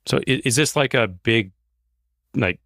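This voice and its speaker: A man hosting a podcast interview, curious and quick to react as he asks questions. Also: medium pitch, gravelly, a bit bright, no room sound, studio quality. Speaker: podcast host. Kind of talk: casual interview.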